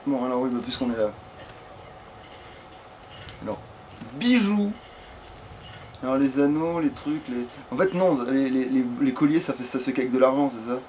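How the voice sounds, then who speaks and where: A man's voice: mid-range voice, normal speed, pauses often, poor audio. A man giving casual gaming commentary, muttering to himself hesitantly and sometimes swearing. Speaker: let's play gamer. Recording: steady background noise.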